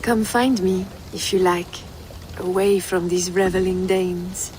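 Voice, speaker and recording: A woman's voice: on the low side, fairly even intonation, slow. A woman playing a romantic, restless Frankish noblewoman with a French accent in a video game cutscene. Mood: yearning, seductive. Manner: romantic, poetic. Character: passionate, restless Frankish noblewoman yearning for a new life.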